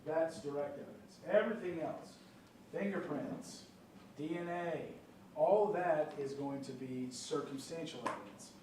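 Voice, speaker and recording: A man's voice: fairly high pitch, measured pace, darkish tone. Man addressing jury panel, conversational, lots of fillers; big echoey courtroom, mic far off. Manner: conversational, many fillers and check-ins like "okay?". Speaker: prosecutor questioning jury panel. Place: large courtroom. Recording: echoey courtroom picked up from far off, light noise.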